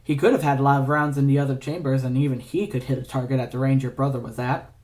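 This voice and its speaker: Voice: mid-range voice, fairly even intonation, fast, darkish tone, natural voice. A performer voicing a sardonic male villain scientist in an audio story, theatrical and taunting, with a dry drawl. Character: sardonic male villain scientist, needling his old lover.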